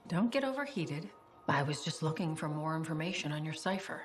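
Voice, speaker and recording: A woman's voice: low voice, lively intonation, a little fast. An actress playing a guarded but sincere intelligence agent woman in a video game.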